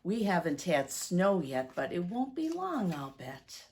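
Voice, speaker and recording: A woman, speaking deliberately and expressively to young children as a story-time teacher. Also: fairly low pitch, big pitch swings, a little mellow, slight background noise. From United States, Midwest.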